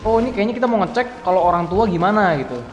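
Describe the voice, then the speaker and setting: A woman from Indonesia giving casual, chatty let's-play commentary with quick asides to viewers. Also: medium pitch, animated. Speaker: gaming streamer. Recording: echoey room with game music.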